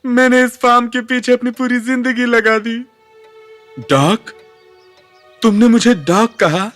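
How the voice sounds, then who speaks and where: A very high, fussy voice for a put-upon cartoon farmer. He sounds indignant and complaining, forever exasperated. Faint music plays behind him. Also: fairly even intonation, unhurried, fairly bright tone. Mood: distraught. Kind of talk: Hindi cartoon dub.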